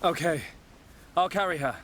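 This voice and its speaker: A man's voice: on the high side, moderate pace, a bit bright, slight background noise, close to an everyday voice. A man playing an earnest, determined, caring young hero in a video game cutscene. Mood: concerned.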